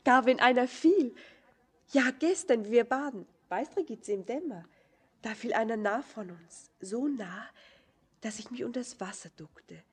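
An actress playing an innocent young country woman in a literary radio play, shy and tender, with a breathy, hesitant, earnest delivery. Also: fairly high pitch, lively intonation, a little slow, exaggerated voice. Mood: shy, wondering. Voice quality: very breathy, often near whispering.

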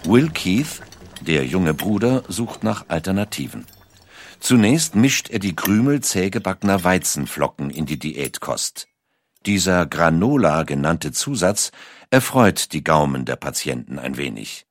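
A man reading scripted documentary narration in a measured, carefully articulated storytelling manner. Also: low voice, big pitch swings, a little hiss or hum.

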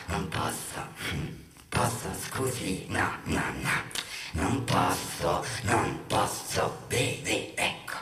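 A man's voice: low voice, recorded from a distance, a little hiss or hum, exaggerated voice. An actor playing a timid, weak-willed male lieutenant in a musical stage drama, stammering and repeating his words. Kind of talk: experimental musical stage monologue acting. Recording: echoey, loud music over voice. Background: loud music.

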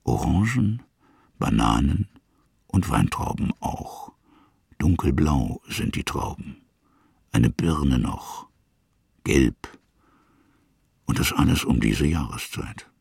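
A reflective storyteller in a radio play. His voice is very husky and crackles with heavy fry, recorded close and dry. He sets out each image in short, measured fragments. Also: very deep voice, animated, a little mellow, no echo, exaggerated voice. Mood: musing. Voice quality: gravelly, husky. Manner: measured, musing, fragmentary phrasing. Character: slow, musing male storyteller. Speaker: narrator. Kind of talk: literary radio drama narration in present tense.

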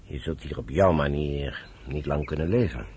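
An actor playing a frank, fatherly military adviser in a Dutch radio play, with a measured way of advising. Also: very deep voice, darkish tone, slight background noise. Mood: frank, grave.

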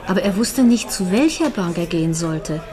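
A woman's voice: fairly low pitch, expressive, moderate pace, close to an everyday voice. Woman acting a nervy widow in a radio crime play, busy chatter behind her. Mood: wary, reasoning. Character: threatened widow Ruth, anxious, questioning, piecing things together.

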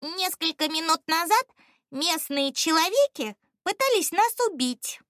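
A high-pitched, excitable male ostrich from a children's storybook, acted out by a woman. He is nervous and suspicious, yet stubbornly self-important. His blunt, emphatic speech swoops up and down, recorded dry and close. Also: measured pace, no room sound, heavily acted.

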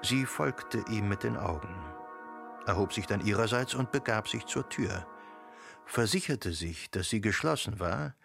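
A man reading literary narration for a radio drama in a measured, deliberate way. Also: lowish voice, vocal fry, a bit bright, no room sound, studio quality. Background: music.

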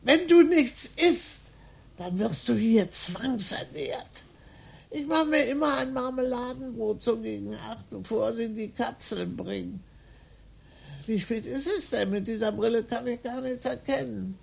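An actress playing a confused, forgetful old woman in a psychiatric ward, rambling in a creaky, breathy voice in a radio play. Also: a little high, a little slow, exaggerated voice. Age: older. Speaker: patient.

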